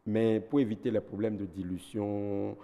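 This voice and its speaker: A man's voice: fairly low pitch, little pitch movement, normal speed, vocal fry, warm tone, big-room sound. A man with a Beninese French accent, a doctor giving health advice on a TV talk show, methodical and didactic, listing his points one by one. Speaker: ENT doctor guest.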